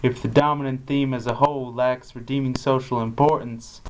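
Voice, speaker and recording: A man's voice: medium pitch, fairly even intonation, moderate pace, lightly acted. A young man playing a matter-of-fact talk show guest, reciting scripted explanations in an amateur puppet show. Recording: very noisy amateur recording with clicks.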